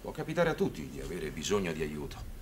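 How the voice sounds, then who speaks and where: A young man, a kindly priest in an old television drama. His low voice moves expressively yet stays measured and reassuring, with faint noise on the soundtrack. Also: very animated. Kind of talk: 1960s Italian television drama acting.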